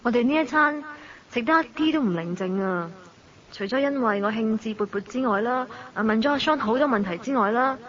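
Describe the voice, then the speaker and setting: High-voiced, curious young woman. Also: expressive, brisk, a bit bright. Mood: excited, puzzled. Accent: Hong Kong Cantonese. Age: young adult. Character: curious, cheeky young female fashion student, lively. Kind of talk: radio drama narration and dialogue. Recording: dull lo-fi audio.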